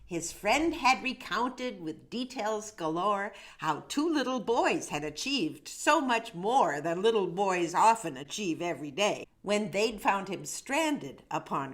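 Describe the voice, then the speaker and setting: Female storyteller for rhyming children's verse: unhurried, animated intonation, measured and expressive. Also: medium pitch. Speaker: author reading own story.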